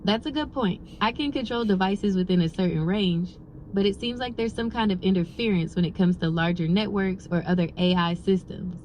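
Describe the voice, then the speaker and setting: A woman's voice: mid-range voice, brisk, lightly acted, rough recording. A woman voicing an upbeat, agreeable female AI companion on a mission, in improvised sci-fi role-play dialogue. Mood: musing. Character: upbeat female AI ship companion, obliging and steady. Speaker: AI co-pilot. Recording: loud car and road noise.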